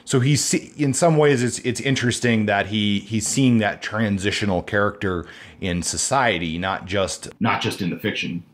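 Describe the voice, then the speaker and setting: A man's voice: lowish voice, normal speed. A man co-hosting a podcast, talking casually and a little hesitantly, and moving the discussion along. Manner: hesitant, many fillers, steers the discussion. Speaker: podcast co-host.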